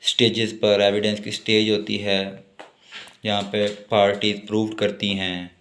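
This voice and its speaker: A man with a Pakistani accent giving an exam-focused law lecture, reading notes deliberately and explaining them informally with repetition. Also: fairly low pitch, completely flat delivery, fairly bright tone, a little hiss or hum. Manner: reads English passages slowly and deliberately, then explains informally with repetition. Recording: echoey room.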